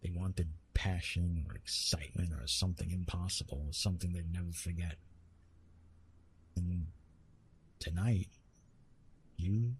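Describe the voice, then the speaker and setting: An ancient Greek love god acted out by a man speaks in a low voice at a very slow pace. He is tender and wry, soothing the listener up close in an ASMR roleplay with gentle, conversational teasing. Noticeable noise sits behind the voice. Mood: soothing, intimate.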